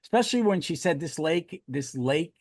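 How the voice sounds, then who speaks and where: A man's voice: a little high, expressive, moderate pace, a little mellow, no room sound, studio quality. A man hosting a podcast in casual review chat, talking in a rambling, enthusiastic way. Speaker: podcast host.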